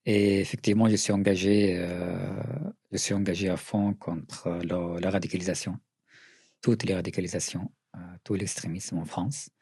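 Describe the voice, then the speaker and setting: A middle-aged man from Syria with a Syrian accent, answering interview questions earnestly, often pausing and restarting. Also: low-pitched, level tone, dark tone, husky, no echo, studio quality. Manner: earnest, with frequent hesitations and restarts. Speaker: writer and journalist, interview guest.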